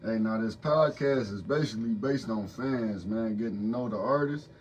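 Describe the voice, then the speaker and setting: A man's voice: lowish voice, normal speed, darkish tone, far from the mic, a little hiss or hum. Hyped slangy man hosting a podcast. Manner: hyped, slangy, frequent affirmations. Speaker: podcast host. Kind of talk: casual interview.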